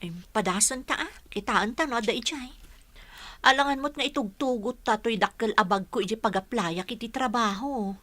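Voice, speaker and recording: An actress with a Filipino accent playing a hardworking, self-sacrificing older sister in an Ilocano radio drama. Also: female voice, fairly high pitch, normal speed, fairly bright tone. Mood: matter-of-fact.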